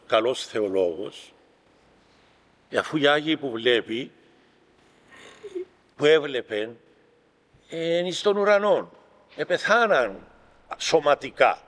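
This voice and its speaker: A man with a Greek Cypriot accent preaching as an Orthodox bishop, reading aloud and commenting in deliberate, emphatic short phrases. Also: mid-range voice, fairly bright tone. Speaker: Orthodox bishop giving a homily. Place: large reverberant room at a gathering. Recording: reverberant hall with long echo.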